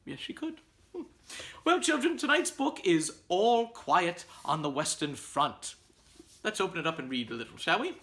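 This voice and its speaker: A man playing a fussy, theatrical children's storytime host who addresses children in an exclaiming, sing-song way, with a husky voice. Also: sits high, big pitch swings, normal speed, fairly bright tone, distant mic, heavily acted. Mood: grandly welcoming. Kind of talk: hammy comedic children's read-aloud.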